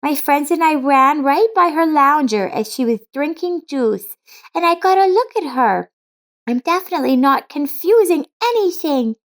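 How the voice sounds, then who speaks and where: A woman voicing an excited, insistent little girl in a narrated story, with an eager, emphatic delivery. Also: sits high, normal speed, pitched above the performer's own voice.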